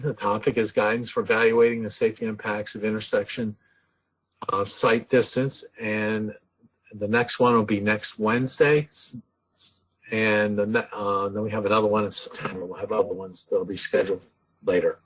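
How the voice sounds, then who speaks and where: A man hosting a webinar, giving announcements and introducing the speaker, halting with frequent fillers. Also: fairly low pitch, fairly even intonation, a bit bright, slightly rough, rough recording. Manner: halting, with many fillers and restarts. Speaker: webinar host.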